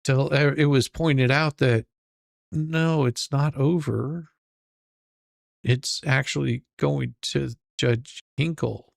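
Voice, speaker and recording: A man's voice: medium pitch, steady tone, slow, gravelly, no room sound, studio quality. A man hosting his channel, reacting in casual commentary and rambling as he repeats words while he thinks. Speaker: channel host reacting to a stream.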